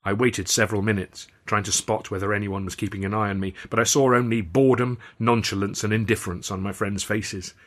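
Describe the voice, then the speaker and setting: A man's voice: on the low side, steady tone, a little fast, hardly any pauses, a bit bright. A man reading his own novel as narrator, telling the story in a wry, literate way. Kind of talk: audiobook narration with acted dialogue.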